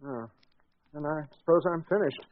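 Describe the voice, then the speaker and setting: A man's voice: fairly high pitch, moderate pace, close to an everyday voice. An actor playing a mild, henpecked English country doctor in his late thirties, hesitant and polite, in 1940s radio drama style. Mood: meek, weary. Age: late 30s. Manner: meek, hesitant, politely deferential. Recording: muffled old 1940s radio recording with music.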